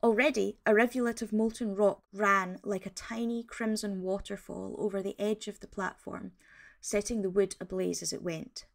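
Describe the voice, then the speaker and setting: Woman playing a gravelly-voiced field naturalist. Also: medium pitch, fairly even intonation, normal speed, lightly acted. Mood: tense wonder. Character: awed field naturalist reporting on a strange discovery. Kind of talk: dramatic scripted first-person narration.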